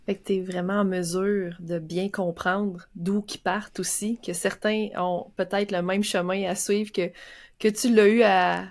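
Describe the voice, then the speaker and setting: A woman's voice: mid-range voice, little pitch movement, a little slow. A woman podcast host with a Québécois French accent, chatting casually with hesitant fillers. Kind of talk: casual conversational interview.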